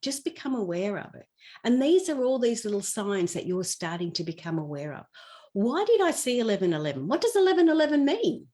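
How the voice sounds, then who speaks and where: A woman's voice: fairly high pitch, a little mellow. A woman podcast guest talking conversationally as a spiritual teacher, explaining things with personal anecdotes and everyday examples.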